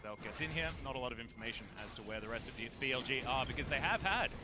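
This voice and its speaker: A man's voice: medium pitch, expressive, very fast, over music. A man giving live play-by-play commentary on an esports match.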